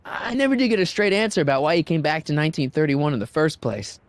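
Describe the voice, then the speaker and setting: A man's voice: on the high side, very animated, fast, no room sound. An actor playing a curious American teenage boy in a video game, talking casually and asking questions as a puzzled sidekick. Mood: casual, probing. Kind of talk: scripted video game dialogue.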